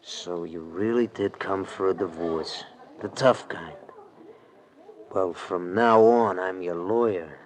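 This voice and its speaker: An actor playing a smug, menacing gangster who talks in sneering slang, in 1940s radio drama style. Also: male voice, deep voice, slow. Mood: threatening. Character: menacing, smug, small-time male gangster tough. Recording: noisy old radio broadcast recording.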